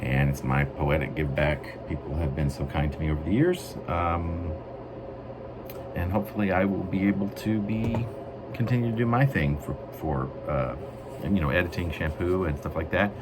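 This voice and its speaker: A man reading his own writing as a poet, with deliberate, emphatic phrasing. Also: deep voice, background noise. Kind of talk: dramatic poetry reading with casual spoken introduction.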